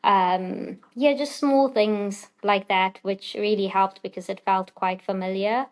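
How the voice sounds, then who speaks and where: A woman's voice: mid-range voice, fairly even intonation, measured pace. A woman with a South African accent chatting casually as an interview guest, in a chatty, giggly manner.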